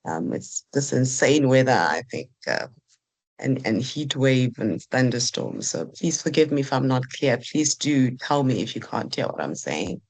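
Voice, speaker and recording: A hoarse woman, asking a long, earnest question on a video call. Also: very deep voice, expressive, measured pace, darkish tone. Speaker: meeting attendee asking a question. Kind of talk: question in an online meeting.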